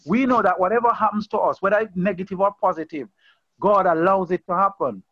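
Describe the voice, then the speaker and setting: Earnest lay preacher, man, high pitch, conversational and repetitive, muffled call audio. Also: fairly quick, no room sound. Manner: earnest, conversational, repeats phrases and restarts. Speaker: pastor leading an online Bible study. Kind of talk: informal sermon on a video call. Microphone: video call.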